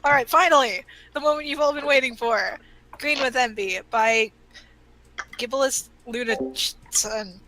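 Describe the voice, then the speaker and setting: A woman's voice: a little high, a little slow, pushed and bright. A woman chatting casually as a participant in a group fan fiction reading, on a noisy informal voice chat.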